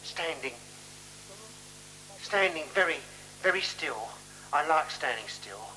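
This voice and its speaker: An actor playing a patient, naively curious male companion in a 1970s radio play, deliberate, repetitive and questioning, on an old radio recording. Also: on the high side, expressive, pushed and bright, exaggerated voice, poor audio. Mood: childlike, musing. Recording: hissy, humming 1976 radio recording.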